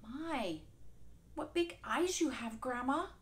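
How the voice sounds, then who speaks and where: A woman's voice: sits high, expressive, takes it slowly, pitched above the performer's own voice, exaggerated voice. A woman voicing a careful little girl on her way to her grandmother. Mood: eager.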